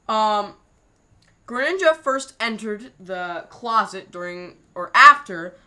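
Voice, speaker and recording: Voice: medium pitch, sharp tone. A channel creator casually explaining scrapped plans in a rambling way, full of fillers and self-corrections. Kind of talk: casual behind-the-scenes commentary.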